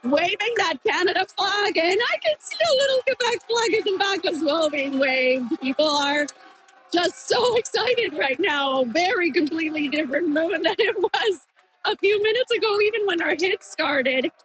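High-voiced woman reporting live outdoors. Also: moderate pace, poor audio. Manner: lively and upbeat. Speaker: field reporter. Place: outdoors at a street viewing party.

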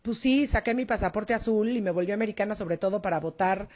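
A woman's voice: mid-range voice, a little mellow. A Mexican woman co-hosting a radio talk show, chatting casually and agreeing often. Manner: chatty, quick affirmations. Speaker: radio co-host.

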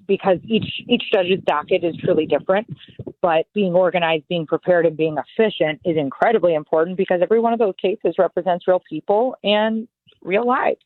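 A woman in her forties, a judicial candidate, answering interview questions in an earnest, measured, lawyerly way. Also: fairly low pitch, dry recording. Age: 40s. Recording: phone-like line, dry.

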